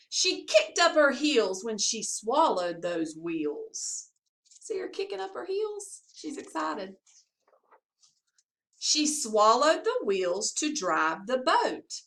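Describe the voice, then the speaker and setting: A woman with a high-pitched voice reads a children's story aloud. She takes her time with each line and swings widely up and down in a sing-song, expressive way, like a school staffer reading to young pupils. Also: takes it slowly. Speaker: assistant principal reading to pupils. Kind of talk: children's picture-book read-aloud.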